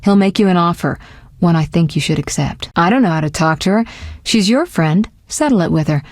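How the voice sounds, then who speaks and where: A woman's voice: fairly low pitch, animated, brisk, darkish tone, no echo, studio quality. A woman voicing a self-assured, sly sorceress in a video game, speaking in poised, articulate, formal phrases with a teasing edge. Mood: composed, advising. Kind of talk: scripted video game dialogue.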